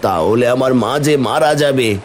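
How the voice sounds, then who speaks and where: A man voicing a devoted young village son, earnest and pleading, in an animated fairy tale. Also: mid-range voice, measured pace, noisy background, lightly acted.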